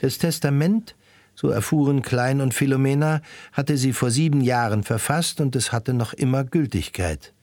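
A man's voice: mid-range voice, normal speed, darkish tone, dry recording. Dry, close-sounding male narrator. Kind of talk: scripted literary narration.

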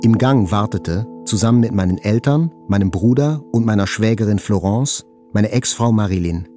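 Audio drama: actor playing male first-person narrator, slightly low mellow voice, composed literary delivery. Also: moderate pace, natural voice. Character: composed male patient recounting his past. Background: faint music.

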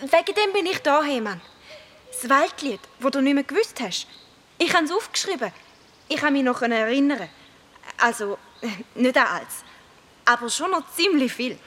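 A woman's voice: sits high, animated, moderate pace, fairly bright tone. A female performer playing an earnest, concerned young girl in a children's radio play, with a Swiss German accent.

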